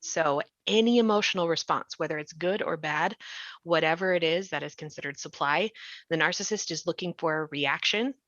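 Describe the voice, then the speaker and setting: A woman's voice: low voice, a little fast, a bit bright. A woman explaining things emphatically in a podcast discussion, as a writer on the panel. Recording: muffled, roomy sound.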